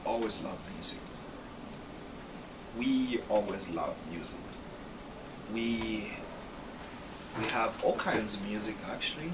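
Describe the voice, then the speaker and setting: A man from Zambia, answering interview questions in a lively way with many repeated "you know" phrases. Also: medium pitch. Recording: distant mic with background noise.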